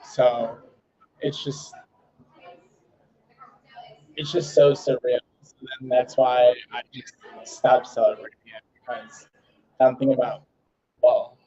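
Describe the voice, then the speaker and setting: A man in his thirties, a chef guest, talking casually and relaxed with many fillers. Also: medium pitch. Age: 30s. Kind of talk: casual interview chat. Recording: muffled lo-fi audio.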